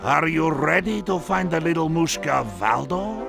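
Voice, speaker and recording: An actor playing an eccentric, excitable circus master in a video game, with a theatrical Russian-style accent and broken English. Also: male voice, on the high side, moderate pace, gravelly, over music. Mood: theatrical, welcoming. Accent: Eastern European, Russian-style. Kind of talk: animated video game character acting.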